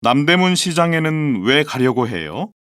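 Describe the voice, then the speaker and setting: A man's voice: a little high, sing-song, normal speed, fairly bright tone, no echo. Man reading language lessons, dry studio sound. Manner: careful, evenly enunciated reading. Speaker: textbook audio voice actor. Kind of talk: scripted textbook dialogues and model presentation.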